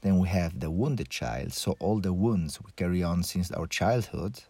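A man with a low voice and an Italian accent speaks alone as a podcast host. He shares personal reflections in halting phrases, often restarting as he goes. The sound is dry, with very little room echo. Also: a little mellow, slightly rough. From Italy.

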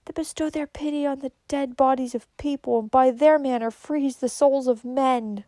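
Storybook role: dying young woman, embittered and weary, anguished drawn-out delivery, performed by a female narrator, mellow tone, very dry sound. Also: medium pitch, no echo, natural voice. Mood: weary, bitter.

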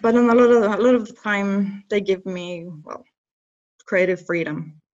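A Norwegian woman in her thirties, her English carrying a Bristol-tinged Norwegian accent, pitched in the middle range for a woman. She talks haltingly and laughs often as an interview guest. The recording sounds dull and lo-fi. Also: darkish tone. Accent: Norwegian mixed with Bristol English. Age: 30s. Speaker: hair and makeup educator.

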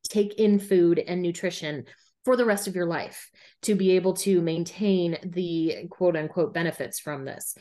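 A woman's voice: on the low side, measured pace, warm tone. A woman in her thirties, a runner and podcast guest, telling her story frankly in a casual conversational way over a remote call. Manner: candid, frank, laughs easily.

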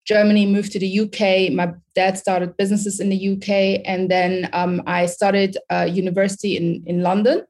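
A woman's voice: medium pitch, little pitch movement, normal speed, few pauses, a little mellow. A woman from Sudan, a confidence coach, talking as a guest on a podcast in an upbeat, encouraging way and laughing often. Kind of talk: casual interview chat.

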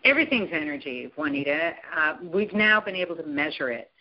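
A woman guest in an interview, talking with emphatic enthusiasm and often jumping up in pitch to stress a point. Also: animated, brisk, highs cut off. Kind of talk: animated interview talk.

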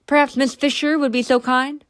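A woman's voice: sits high, little pitch movement, moderate pace, clear, resonant tone, dry recording, studio quality, pitched above the performer's own voice, natural voice. A woman voicing a shifty, evasive young man who turns falsely polite in a read-aloud story. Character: shifty young male brewer, sullen and evasive.

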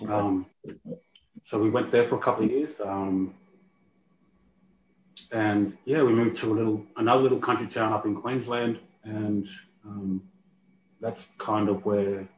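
A man from Australia with an Australian accent, speaking softly and hesitantly in a casual interview, with many ums. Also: on the low side. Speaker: rugby league player, guest. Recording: roomy, muffled, faint hiss.

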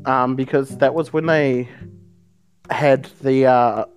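A young man chatting loosely on a podcast, trailing off into fillers and laughing often. His pitch sits mid-range, with faint music in the background. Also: steady tone, slow pace. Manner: rambling, with lots of laughter and fillers. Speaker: podcast co-host. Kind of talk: casual joking chat.

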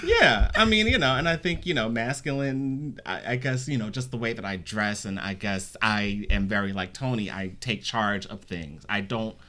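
A man chatting casually in a group discussion, rambling and repeating himself, and turning animated when he jokes. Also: fairly low pitch, normal speed, hardly any pauses, slight background noise.

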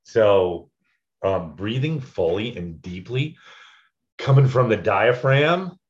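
Deep, loud-voiced man, dull lo-fi sound. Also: expressive, slow pace. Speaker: public speaking instructor. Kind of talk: casual teaching chat.

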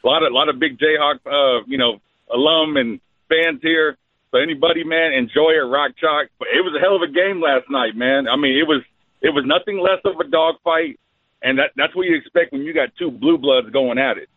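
A man's voice: fairly high pitch, fairly quick, no echo. A man phoning in to a sports show over a narrow phone line, chatting casually and enthusiastically in slangy fan talk.

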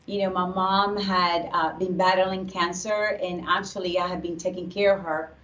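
A woman's voice: lowish voice, flat delivery, fairly bright tone. Woman from Puerto Rico on a distant, echoey line. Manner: earnest, faith-filled, speaks slowly with restarts. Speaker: guest, pastor's wife. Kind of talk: casual interview chat. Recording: echoey, distant, dull remote audio.

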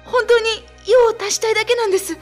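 A woman's voice: very high-pitched, steady tone, fast talker. A woman playing an anxious young sister in a radio drama, speaking politely and hesitantly. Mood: nervous, evasive. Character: timid, anxious young younger sister seeking her brother. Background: background music.

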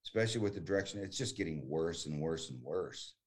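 A man's voice: extremely low pitch, animated, quick pace, darkish tone. A man in his forties from the United States, talking as a guest in a casual conversation, chatty and emphatic with frequent restarts. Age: 40s.

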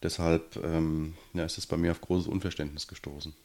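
Guest, man in his 40s, very low voice, measured and hesitant. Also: level tone, a little mellow. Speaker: city council member, guest. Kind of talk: podcast interview.

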